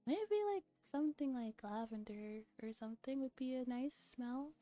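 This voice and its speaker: Bright-voiced playful woman, soft music behind. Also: a little high, normal speed. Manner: playful, giggly, relaxed banter. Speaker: streamer host reading a visual novel aloud. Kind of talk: casual livestream chat mixed with game read-aloud, ASMR.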